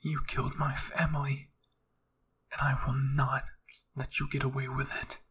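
A man acts out a vengeful young woman warrior from an audio story. She is wounded and livid, speaking with deliberate menace in a husky, gravelly, tightly level voice. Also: mid-range voice, level tone, fairly bright tone.

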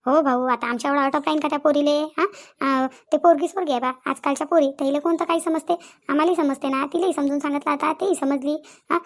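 A woman's voice: sits high, steady tone, fast talker. A woman playing insistent, talkative village women in a comedy cartoon, with a rural Vidarbha Maharashtrian accent and a habit of repeating phrases. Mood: placating. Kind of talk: Marathi comedy cartoon dialogue.

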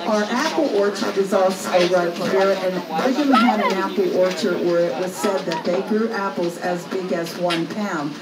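A woman's voice: mid-range voice, moderate pace, hardly any pauses, over a noisy crowd. A woman working as a tour guide, narrating a ride in an upbeat, jokey way and quizzing the riders. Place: open-air tram ride outdoors. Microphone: recorder's phone, guide some distance away. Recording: distant echoey voice over loud outdoor noise.

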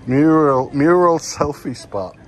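A man's voice: fairly high pitch, slow pace. A man narrating a walking tour of a food court, exclaiming with enthusiasm over the dishes. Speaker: vlogger touring a food court. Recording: noisy outdoor street recording.

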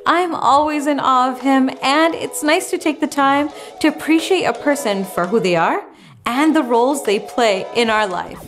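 A woman in her thirties co-hosting a music show, presenting and bantering in a playful, expressive way. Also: high-pitched, normal speed. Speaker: co-host of a music news show. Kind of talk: upbeat presenting and casual banter. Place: home music studio, indoors.

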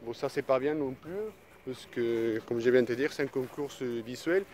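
Male trainer, 30s, casual outdoor tutorial; halting delivery with fillers, phone mic, light background noise. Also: mid-range voice, steady tone, a little mellow. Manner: hesitant, many restarts and fillers. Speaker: dog trainer. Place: outdoors in a grassy park. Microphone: phone held at arm's length.

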